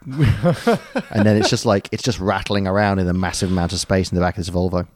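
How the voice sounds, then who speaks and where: A man's voice: low-pitched, brisk, no echo. A man co-hosting a podcast, chatting casually and rambling through a story with plenty of ums and jokey asides. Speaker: podcast co-host. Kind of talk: casual chat.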